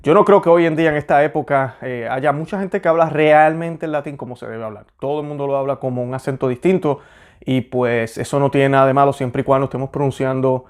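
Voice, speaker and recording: A young man hosts a faith program, earnest and conversational, often pausing on fillers as he works through his thoughts. His voice sits at an ordinary middle pitch. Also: clear, resonant tone. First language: Spanish. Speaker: host. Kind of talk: religious commentary and prayer.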